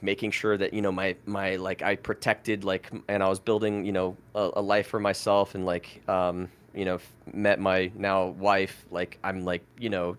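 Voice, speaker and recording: Thirties guy, native French speaker, low-pitched, chatty and meandering, restarts his sentences a lot. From France. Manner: rambling, lots of "like" fillers and restarts. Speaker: podcast guest. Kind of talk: casual storytelling interview.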